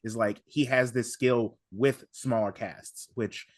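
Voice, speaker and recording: A man on a podcast panel giving casual analysis, halting and repeating words as he builds his point. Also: medium pitch, fairly even intonation, no room sound, studio quality.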